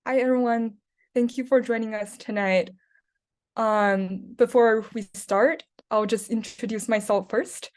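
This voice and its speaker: A woman's voice: a little high, steady tone, a little slow, a little mellow. A young woman from China hosting a student Q&A on a video call, hesitant with many fillers. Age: young adult. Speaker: host, student club co-president. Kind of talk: casual moderating on a video call.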